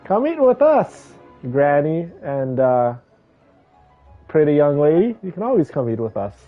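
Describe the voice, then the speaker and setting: A game streamer chatting casually with viewers while playing, in a halting, drawn-out, soft-spoken way. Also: mid-range voice, big pitch swings, phone-quality sound. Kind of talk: casual chat, game livestream commentary. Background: game music and dialogue.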